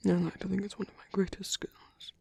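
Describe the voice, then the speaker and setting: A performer voicing a tender reformed villain as a protective lover in an intimate, gentle, breathy ASMR roleplay, speaking slowly in a hushed whisper. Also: medium pitch, flat delivery, no echo, heavily acted. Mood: reassuring, softly coaxing. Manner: slow, gentle, hushed, reassuring.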